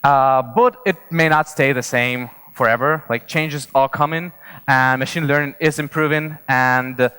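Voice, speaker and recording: A man speaks as a conference presenter in a big reverberant hall. His voice is clear and smooth at a middling pitch. He explains things informally, often hesitating and repeating words as he goes. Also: steady tone, a little slow, sharp tone. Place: large indoor conference hall.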